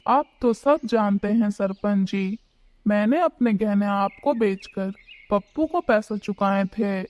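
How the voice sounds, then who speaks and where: A woman's voice: mid-range voice, slow pace, dull audio, lightly acted. A woman voicing a wronged, pious, indignant widowed mother in a cartoon village story. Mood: wounded, resigned. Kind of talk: cartoon moral story dialogue.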